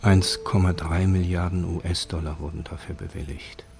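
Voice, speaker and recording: A man acting as a sober narrator, delivering scripted factual radio narration in a measured, formal manner. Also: sits low, steady tone, creaky, music in the background. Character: sober male documentary narrator.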